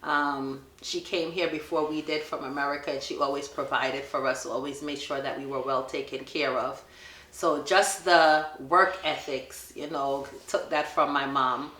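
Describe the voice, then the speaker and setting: Middle-aged woman, Jamaican accent, low voice, laughs a lot, roomy echo. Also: steady tone, normal speed. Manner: warm storytelling, laughs often. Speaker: mother answering her son's questions. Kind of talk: casual family Q&A chat.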